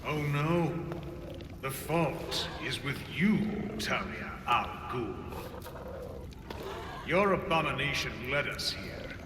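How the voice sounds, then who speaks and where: An actor playing a cold, formal, menacing secret-society villain in a video game cutscene, deliberate and accusing. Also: male voice, mid-range voice, expressive. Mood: cold, threatening. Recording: distant, echoing hall, loud noise.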